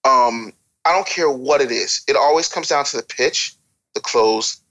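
A man's voice: mid-range voice, unhurried, fairly bright tone, low-quality recording. An American man giving opinionated, unscripted advice as a podcast guest, blunt, profane and scolding.

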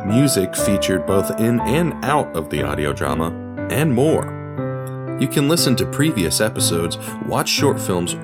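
A man presenting a podcast as its host, reading scripted narration in an explanatory way. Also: fairly low pitch. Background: music.

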